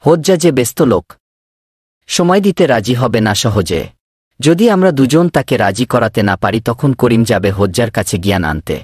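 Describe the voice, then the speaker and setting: A man's voice: mid-range voice, animated, fairly quick, slightly rough, dry recording, studio quality. A man voicing an eager village man in a cartoon, earnest and persuasive as he lays out plans. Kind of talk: cartoon voice acting.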